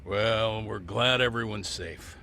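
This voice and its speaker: Creaky-voiced genial office boss. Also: male voice, on the low side, slow pace, sharp tone, a little hiss or hum, full character voice. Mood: concerned. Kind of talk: English video game dub dialogue.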